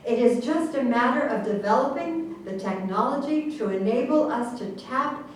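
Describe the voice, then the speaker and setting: A woman in a staged reading playing an earnest, pompous government official who lectures with deliberate emphasis. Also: fairly high pitch, lively intonation, natural voice. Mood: rapt, earnest. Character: government official earnestly explaining absurd secret science. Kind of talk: theatrical staged reading of a satirical play. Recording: very echoey room, distant mic, faint noise.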